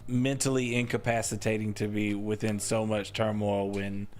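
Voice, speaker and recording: A man's voice: on the low side, level tone, normal speed, a little mellow, faint music behind. A man hosting a casual comedy podcast, joking loosely with stop-start phrasing and sometimes dropping to a whisper for a bit. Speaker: podcast host.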